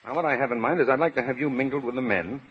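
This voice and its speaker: An actor playing a smug, bullying factory boss, self-important and slangy but cowardly underneath, in 1930s radio drama. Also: male voice, mid-range voice, very fast, breathy edge, close to an everyday voice. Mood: scheming. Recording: muffled 1930s radio broadcast, reverberant hall.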